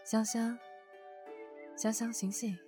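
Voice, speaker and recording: A woman's voice: on the high side, little pitch movement, takes it slowly, music in the background. A woman playing an earnest young woman in an audio drama, with an emotive delivery. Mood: tender, soothing. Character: young woman, defiant captive and doting girlfriend.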